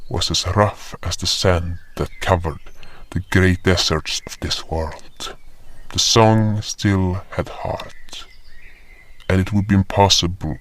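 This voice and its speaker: A man reading a scripted first-person story as its narrator, in a solemn, measured manner. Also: deep voice, flat delivery, a bit bright. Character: solemn animal guardian spirit telling its tale. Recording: music behind the voice. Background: music.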